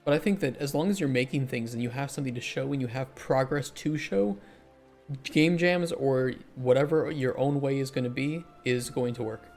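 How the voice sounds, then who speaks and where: A man who develops indie games, chatting casually on a livestream and thinking aloud as he answers chat, his voice hoarse after hours of streaming. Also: mid-range voice, normal speed, a little mellow, soft background music.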